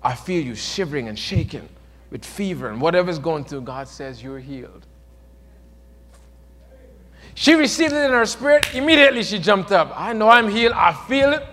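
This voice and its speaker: A man preaching a sermon, moving from quiet, low passages to loud, emphatic peaks. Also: very animated, normal speed, in a large hall, a little hiss or hum. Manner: swings from soft to shouted, rising to emphatic peaks.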